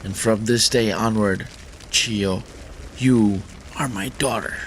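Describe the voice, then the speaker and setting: A man playing a grave, weary fugitive swordsman in a visual novel, speaking solemnly and deliberately. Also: on the low side. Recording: noticeable background noise.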